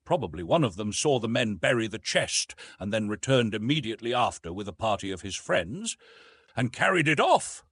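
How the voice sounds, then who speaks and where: A man voicing an earnest young English gentleman in an audiobook, proper and emphatic in manner. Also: lowish voice, big pitch swings, moderate pace, slightly rough, no echo, clean studio sound, full character voice. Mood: reasoning, excited. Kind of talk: dramatised audiobook reading.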